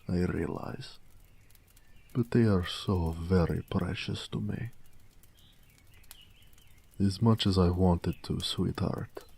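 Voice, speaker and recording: A man plays a big-hearted orc blacksmith in a romantic audio roleplay. His voice is very low and mellow, and he takes his time with each phrase. The delivery is tender, measured and wistful, sometimes hushed. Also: gravelly, husky, heavily acted. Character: gentle peace-loving male orc blacksmith, tender and wistful.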